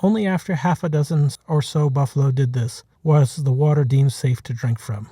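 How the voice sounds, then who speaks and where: A man reading a short story as scripted narration, in a measured, dramatic manner. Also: mid-range voice, warm tone, slightly rough, dry recording, clean studio sound. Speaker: author reading his own short story.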